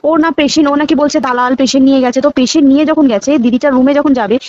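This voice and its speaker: A woman's voice: high-pitched, rapid-fire, a little mellow, dry recording. A young woman with a West Bengal accent talking casually and emphatically on a recorded phone call, repeating words and checking that her listener follows.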